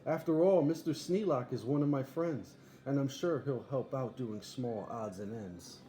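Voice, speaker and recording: A man's voice: medium pitch, moderate pace, mellow, close to an everyday voice. Man playing an eager boastful young circus showman, echoey. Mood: showy, proud. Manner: grandiose ringmaster barking, emphatic lists. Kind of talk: storybook read-aloud character. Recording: echoey room, faint background noise.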